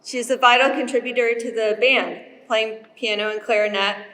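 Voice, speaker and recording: A woman with a fairly high voice reads a formal award citation at a school ceremony. Her voice rings out with a long reverb tail from a large hall. She keeps a measured, ceremonial tone. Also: fairly even intonation, fairly bright tone. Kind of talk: reading a prepared award citation.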